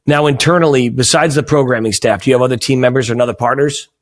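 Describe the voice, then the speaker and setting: A man's voice: mid-range voice, fast. Brief: American man, New England accent, podcast host, friendly, self-mocking. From United States. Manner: friendly, joking, self-deprecating. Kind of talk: casual interview chat.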